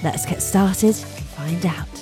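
A woman's voice: lowish voice, takes it slowly. A woman hosting a travel podcast, reading a polished intro and then interviewing her guest. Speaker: podcast host. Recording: faint music behind.

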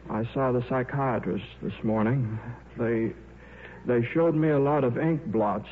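An actor playing a shy, absent-minded middle-aged scientist in a 1950s radio drama, with a hesitant, stammering delivery. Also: male voice, medium pitch, unhurried, natural voice. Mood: weary, resigned. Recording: muffled, noisy old radio broadcast.

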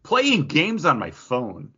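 A man co-hosting a podcast in casual chat, rambling and animated with lots of restarts. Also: a little high, takes it slowly, slightly rough. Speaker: podcast co-host. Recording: dull lo-fi podcast audio.

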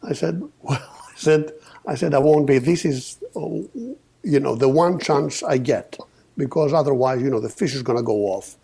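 A man in his fifties from Greece, a podcast guest telling his story with many pauses and restarts. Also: medium pitch, gravelly, dark tone, slightly rough. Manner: hesitant, many uhs and restarts. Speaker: podcast guest, company founder. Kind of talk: casual storytelling interview.

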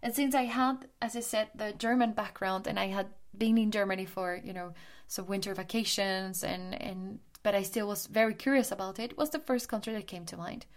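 A woman's voice: medium pitch, moderate pace. Colombian-born woman telling her life story. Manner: chatty, frequent restarts and fillers, laughs while telling. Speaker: podcast host. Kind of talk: personal storytelling monologue.

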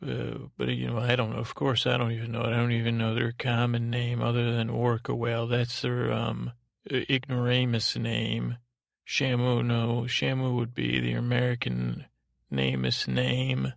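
Male sleep-podcast host: thick vocal fry, gravelly, fairly flat intonation, wandering, hesitant, lulling delivery. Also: medium pitch, no echo, studio quality. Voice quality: creaky, gravelly. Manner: meandering, halting, digressive; lulling, soothing. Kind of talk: rambling bedtime monologue to lull listeners to sleep.

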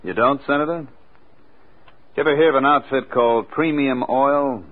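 An actor playing a brusque, sardonic federal agent in a 1940s hardboiled radio drama. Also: male voice, mid-range voice, moderate pace, close to an everyday voice. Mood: pressing, sardonic. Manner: curt, sardonic. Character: brusque, cocky tough male federal agent. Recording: tinny vintage radio recording.

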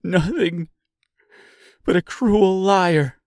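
A man's voice: fairly high pitch, steady tone, very slow, dark tone, breathy edge, dry recording, studio quality, pitched above the performer's own voice, full character voice. A man voicing a distraught seamstress, a grieving wife who cries out emotionally in a storybook reading. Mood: accusing. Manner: emotional, crying out.

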